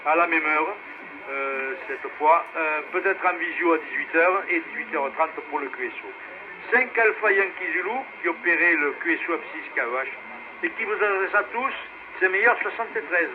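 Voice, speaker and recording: A man running an amateur radio net in a casual, halting way, heard over a two-way radio. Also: on the high side. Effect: two-way radio transmission. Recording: noisy narrowband ham radio relay.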